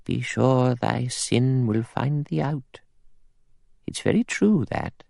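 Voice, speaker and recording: A man's voice: medium pitch, vocal fry, darkish tone, dry recording, pitched above the performer's own voice. Man voicing a prim, dry, severe elderly spinster. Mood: pious, stern. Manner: dry, brisk, precise, disapproving. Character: prim, pious, severe elderly spinster, coldly self-righteous.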